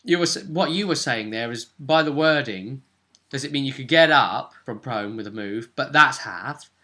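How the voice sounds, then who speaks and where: A man speaking as game master, casually recapping a tabletop role-playing session in an animated, explanatory way. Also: mid-range voice, normal speed.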